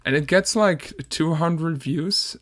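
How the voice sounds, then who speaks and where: A man's voice: on the high side, takes it slowly. A young man from Denmark with a Danish accent, chatting casually and laughing often while making music at home on a desk mic. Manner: laid-back, laughs often, hesitant restarts. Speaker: music producer streamer. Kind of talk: casual chat while producing.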